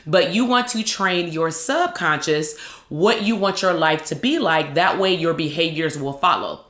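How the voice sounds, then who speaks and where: Loud, lively woman coaching in an echoey room. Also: fairly low pitch. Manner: lively, conversational, quick asides. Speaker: host, psychologist and branding coach. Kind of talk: casual motivational talk to camera.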